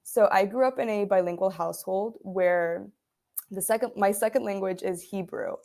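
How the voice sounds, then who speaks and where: A woman's voice: mid-range voice, normal speed, gravelly, warm tone. Woman student explaining in a video. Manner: earnest, explanatory, with fillers. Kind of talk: recorded class video reflection.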